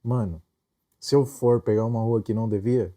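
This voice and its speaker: A man's voice: lowish voice, steady tone, moderate pace, warm tone. A young man with a Brazilian Portuguese accent, co-hosting a casual couple conversation in a laid-back way with informal slang. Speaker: podcast co-host.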